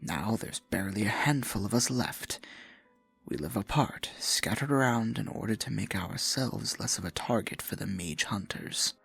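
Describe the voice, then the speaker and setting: A man playing a possessive, theatrical dark sorcerer in a fantasy ASMR roleplay, murmuring with silky menace and mock-sweet endearments in a husky, gravelly voice. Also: on the low side, lively intonation, moderate pace, no room sound, soft background music, full character voice. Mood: bitter, wounded.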